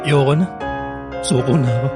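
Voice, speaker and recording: An actor with a Filipino accent playing a grieving, guilt-ridden young husband pleading in an emotional radio drama. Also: male voice, medium pitch, brisk, over loud music, lightly acted. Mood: weary, defeated.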